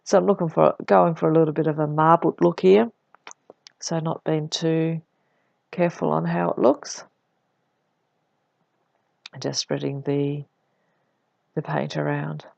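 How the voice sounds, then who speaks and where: A woman with a cold, her voice croaky, deep and husky, narrating her scrapbooking in a relaxed voice-over. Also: unhurried, long pauses, no echo, muffled recording. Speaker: scrapbooking vlogger.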